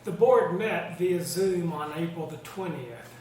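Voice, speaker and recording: A man's voice: on the high side, unhurried, a little mellow. A man reading an informal scripted board report in an orderly, matter-of-fact way. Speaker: church board member giving a meeting recap. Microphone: distant microphone. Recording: very echoey room, distant mic, faint noise.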